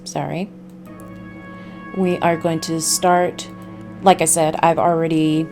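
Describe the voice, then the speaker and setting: A woman hosting a casual game livestream, talking in a halting way with drawn-out pauses. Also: lowish voice. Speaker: livestream host. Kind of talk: casual game stream, reading a visual novel aloud.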